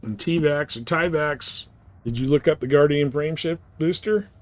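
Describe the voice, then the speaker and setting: A man's voice: fairly high pitch, moderate pace, no echo. A man chatting casually with viewers as he live streams a game. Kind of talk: casual chat over gameplay.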